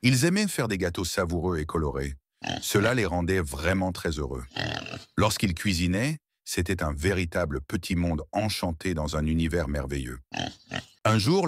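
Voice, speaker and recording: A man narrating a scripted children's story with expressive, playful storybook delivery. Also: low-pitched, breathy edge, no echo.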